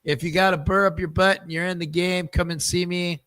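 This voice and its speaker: A man's voice: high-pitched, fairly even intonation, brisk, a bit bright, clean tone, no room sound. A man hosting a sports podcast, talking casually in a loose, joking, slightly rambling way. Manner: jokey, loose, a bit rambling. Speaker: podcast host. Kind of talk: casual podcast sign-off chat.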